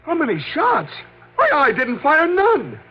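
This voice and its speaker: A shabby, frightened stickup man from a vintage radio crime show. His high voice jumps around as he whines and stammers out slangy protests. The sound is muffled old broadcast audio. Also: wildly swooping pitch, measured pace, exaggerated voice. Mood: frightened, pleading. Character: panicky shabby male wino stickup man. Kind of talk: 1950s radio crime drama acting.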